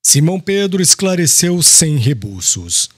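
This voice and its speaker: Expressive, higher-pitched male narrator. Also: unhurried, slightly rough, no echo, studio quality. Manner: formal, expressive. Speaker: audiobook narrator. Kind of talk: scripted literary narration.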